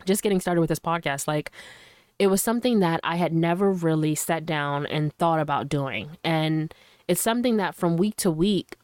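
Slightly hoarse woman hosting a casual podcast. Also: low-pitched, moderate pace, darkish tone, no room sound. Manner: loose and chatty, full of fillers; earnest and encouraging. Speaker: podcast host. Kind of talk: casual solo podcast talk. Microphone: studio microphone.

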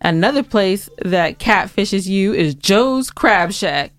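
A woman's voice: mid-range voice, lively intonation, slow pace, no room sound, soft background music. An American woman co-hosting a podcast, chatting casually in an emphatic, argumentative way and dramatizing her complaints. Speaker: podcast co-host. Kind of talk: casual, bantering podcast chat.

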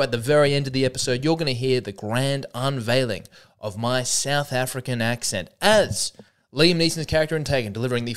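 A man hosts his own comedy podcast solo. His voice sits in a middle register but swoops up and down a lot as he jokes. He rambles with dry sarcasm and mocks himself along the way. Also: medium pitch. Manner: sarcastic, rambling, self-deprecating.

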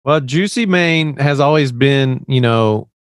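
A man's voice: mid-range voice, measured pace, no room sound, studio quality. A man from Tennessee with a light Southern US accent, chatting as a podcast guest in a loose, rambling way full of fillers. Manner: rambling, relaxed, many fillers. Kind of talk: casual podcast conversation. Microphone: remote call.

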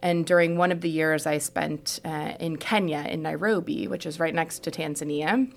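A woman's voice: low voice, steady tone, normal speed, creaky. A middle-aged American woman from Houston telling her own story as a podcast guest in a reflective, explanatory, conversational way. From Houston, United States. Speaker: podcast guest, trauma surgeon. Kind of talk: conversational personal narrative in an interview.

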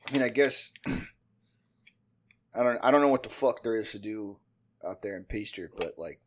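A man's voice: fairly low pitch, expressive, measured pace, slight background noise. A man, hosting a casual chat in a rambling, profane, self-deprecating way. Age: 50s. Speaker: podcast host.

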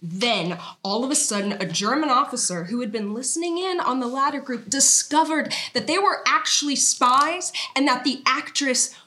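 Bright, high-voiced woman acting a melodramatic storyteller. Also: expressive, normal speed, hardly any pauses. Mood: solemn, dramatic. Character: earnest evasive storyteller Ollie, passing off movie plots as trauma. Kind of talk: comedy sketch table read.

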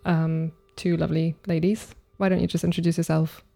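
A woman's voice: deep voice, flat delivery, brisk, vocal fry, warm tone, soft background music. A woman hosting a podcast, welcoming her guests and teasing them wryly in casual chat. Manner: wry, teasing. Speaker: podcast host.

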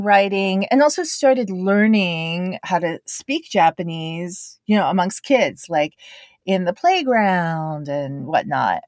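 A woman speaks in a relaxed storytelling manner, taking her time and circling back on her words with frequent pauses and fillers. Her voice sits a little low and unhurried. The sound is close and dry, with no room echo. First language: English. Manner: reflective, meandering, many fillers and restarts. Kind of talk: casual personal storytelling.

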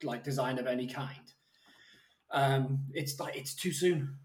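A man's voice: medium pitch, unhurried, roomy sound, slight background noise. A man co-hosting a podcast, rambling casually and peppering his talk with "like". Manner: rambling, swears casually, says like a lot. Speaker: podcast co-host. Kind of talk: casual conversation.

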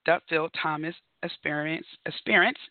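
A woman hosting her own podcast, her voice raspy and hoarse as she recovers from bronchitis, talking in a chatty, upbeat way with frequent laughs. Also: deep voice, moderate pace, dry recording, narrow, tinny audio. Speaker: podcast host. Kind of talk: solo podcast monologue.

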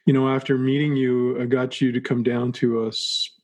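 A man hosts a podcast, his voice slightly husky and of middling pitch. He talks in an enthusiastic, rambling way and often restarts his sentences. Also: flat delivery, moderate pace, a little mellow. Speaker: podcast host. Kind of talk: casual interview.

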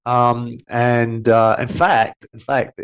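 A man's voice: medium pitch, level tone, takes it slowly, clean tone. A man with a head cold and a congested voice, chatting as a radio guest in a rambling way with many fillers. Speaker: TV series host as guest. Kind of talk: casual radio interview chat.

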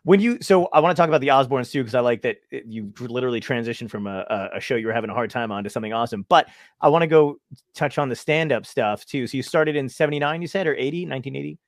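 A man hosting a casual interview, talking quickly with profanity and self-deprecating asides. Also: mid-range voice, dry recording, clean studio sound. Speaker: podcast host, comedian.

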